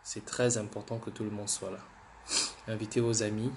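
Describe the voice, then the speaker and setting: A man's voice: lowish voice, level tone, moderate pace, vocal fry, a little mellow, a little hiss or hum. A man who has the flu, hosting a political livestream, repeating himself, restarting words and urging viewers to share. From Gabon. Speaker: livestream host.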